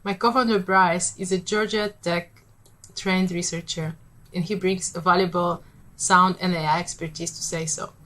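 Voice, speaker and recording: Polish-accented woman pitching her startup. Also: steady tone, moderate pace, sharp tone. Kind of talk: rehearsed pitch presentation.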